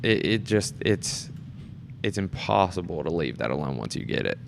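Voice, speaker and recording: A man's voice: deep voice, very animated, normal speed, vocal fry, background noise. A man talking casually as a guest in an informal conversation. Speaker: community theatre performer, podcast guest. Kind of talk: casual chat.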